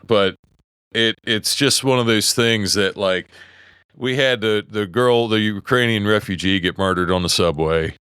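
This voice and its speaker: A low-voiced man with a West Virginia accent talks politics on a podcast, swearing freely and breaking off to restart his thoughts. His voice is clean and smooth, recorded close on a dry mic with no room sound. He sounds middle-aged and argues hard. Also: fairly bright tone, no room sound. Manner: heated, profane, halting with restarts. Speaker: podcast co-host. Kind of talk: casual opinionated chat.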